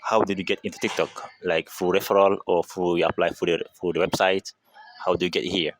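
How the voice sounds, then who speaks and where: A man hosting a casual interview with coworkers at an office, asking questions in a brisk way. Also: low-pitched, flat delivery, slight background noise. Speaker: interviewer, vlog host.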